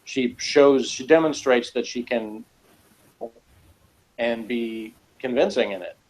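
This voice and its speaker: A man's voice: medium pitch, takes it slowly, rough recording. A man chatting casually as a reviewer, giving his opinions on an episode in a rambling way.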